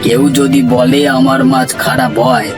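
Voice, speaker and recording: A man's voice: medium pitch, fairly even intonation, moderate pace, music in the background, natural voice. A man voicing an obliging, proud cartoon fishmonger with a respectful salesman's patter. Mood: proud, assuring.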